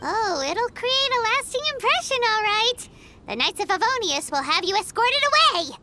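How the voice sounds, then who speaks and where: Squeaky, cheeky little fairy sidekick. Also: female voice, expressive, normal speed. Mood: cheeky, scolding. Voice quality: squeaky, childlike. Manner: bossy, teasing, exclamatory. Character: tiny childlike female fairy companion, cheeky and blunt. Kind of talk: video game character acting. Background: background music.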